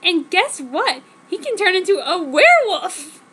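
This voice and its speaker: Boy-crazy teenage girl, acted by a woman, very high, slangy squeal, comic fan-fiction reading, faint noise. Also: big pitch swings, unhurried, a bit bright, exaggerated voice. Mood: excited.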